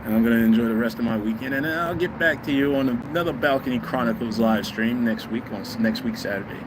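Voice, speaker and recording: A blunt, preachy man in his fifties talks straight to his livestream viewers from an outdoor city balcony. His voice sits at an ordinary middle pitch. Heavy street and traffic noise runs loudly behind him. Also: quick pace. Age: 50s. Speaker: livestream host. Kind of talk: blunt opinion livestream monologue.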